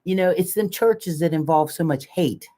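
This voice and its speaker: A woman's voice: on the low side, moderate pace, dark tone. An older woman co-hosting a casual live chat, talking in a folksy, colloquial and emphatic way. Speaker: co-host of a live community chat show.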